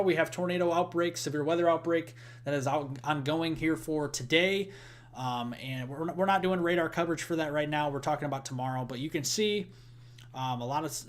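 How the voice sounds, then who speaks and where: A man hosting a live weather stream, talking casually through the forecast with fillers and restarts. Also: a little high. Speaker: weather livestream host.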